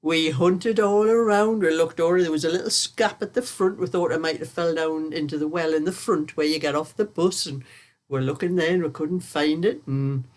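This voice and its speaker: An older woman, croaky from a cold, chatting casually while knitting as host of her own vlog, rambling through stories and laughing often. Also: low voice, normal speed.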